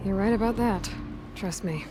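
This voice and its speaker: A woman playing a young, compassionate, self-reliant warrior in a video game, speaking in a plain, earnest and gentle manner. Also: mid-range voice, moderate pace, over music, natural voice. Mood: gentle, wry. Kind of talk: scripted video game cutscene acting.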